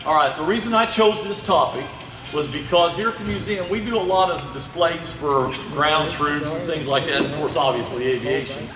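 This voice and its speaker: A man's voice: a little high, moderate pace, crowd noise. A middle-aged man from Indiana, a museum volunteer giving a chatty, folksy history talk to an audience. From Indiana, USA. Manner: folksy, chatty, checks in with "okay?". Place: large indoor museum hall. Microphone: handheld mic held loosely. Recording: echoey hall recorded from far off.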